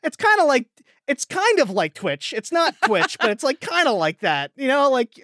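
A man's voice: very high voice, sing-song, moderate pace, fairly bright tone. A man talks casually as a guest voice actor on a podcast. His delivery rambles and halts, with repeated words, and the sound is dry with no room echo. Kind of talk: casual chat.

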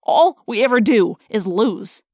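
A woman's voice: a little high, expressive, a little slow, dark tone, dry recording. A woman narrator plays a stressed, jumpy teenage boy in an audiobook adventure. He talks bluntly and cynically, like a worn wisecracker, in a very dry recording. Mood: bleak.

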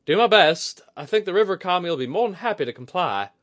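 A man's voice: high-pitched, animated, fast talker, fairly bright tone, pitched above the performer's own voice. A man voicing a determined, wry woman mystic in a fantasy audiobook, informal and a little weary. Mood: wry, game.

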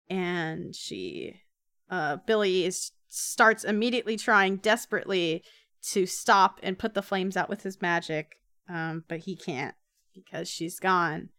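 A woman's voice: medium pitch, a bit bright, smooth, no room sound, clean studio sound. A woman co-hosting a podcast, casually recapping a comic story in a playful, conversational way. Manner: playful dramatic reading. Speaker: podcast co-host.

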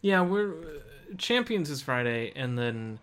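A man's voice: on the high side, big pitch swings, slow, fairly bright tone. A man co-hosting a podcast in a casual, conversational style. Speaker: podcast co-host. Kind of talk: casual chat.

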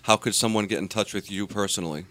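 A man co-hosting an interview show, asking questions in a measured way and reading the guest's background. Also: lowish voice, fairly bright tone. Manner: measured, reads background notes. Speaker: show co-host.

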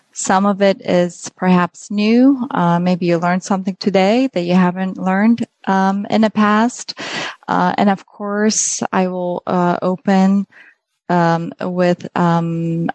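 A middle-aged woman from Poland with a Polish accent, a native Polish speaker, giving an informal educational presentation in a hesitant, halting way. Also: medium pitch, darkish tone, dry recording.

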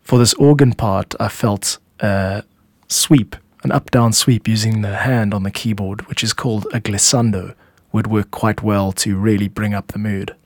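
A man hosting an explanatory music podcast, speaking in measured, instructive phrases with deliberate pauses into a close microphone. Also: on the low side, fairly even intonation, dry recording. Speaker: podcast host and composer explaining own music.

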